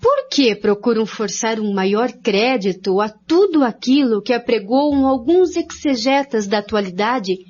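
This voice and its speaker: Formal woman, slightly high voice. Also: big pitch swings, unhurried, hardly any pauses, darkish tone. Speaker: co-presenter of a spiritist study programme. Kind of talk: formal scripted reading. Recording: dull lo-fi recording.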